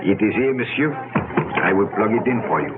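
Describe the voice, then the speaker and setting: A man's voice: on the low side, expressive, fairly quick, loud music behind the voice, lightly acted. An actor playing a deferential waiter in a radio drama, a man with courteous manners. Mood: polite. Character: polite, deferential French restaurant waiter. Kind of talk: 1970s radio drama acting. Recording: tinny, noisy 1970s radio broadcast.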